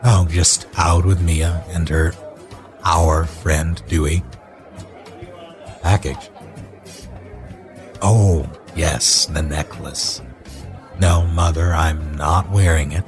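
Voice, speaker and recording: Slow, deep-voiced exasperated husband. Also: male voice. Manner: slow, placating, weary, exasperated. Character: Ben, exasperated, doomed husband working on finances. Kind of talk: horror audio drama acting.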